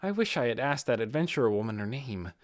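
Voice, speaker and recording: A man narrates a fantasy audiobook in a mid-pitched voice. His intonation moves a lot as he reads the scripted story, and the sound is dry with no room around it. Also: very animated, fast, no echo. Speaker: audiobook reader.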